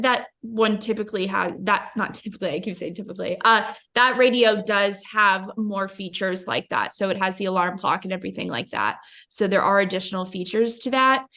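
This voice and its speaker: A woman's voice: mid-range voice, pushed and bright. A woman company representative answering product questions informally, a little hesitant and correcting herself as she goes.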